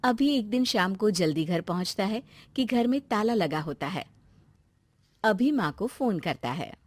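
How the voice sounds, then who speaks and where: A woman's voice: medium pitch, expressive, measured pace, no room sound. A woman reading scripted story narration.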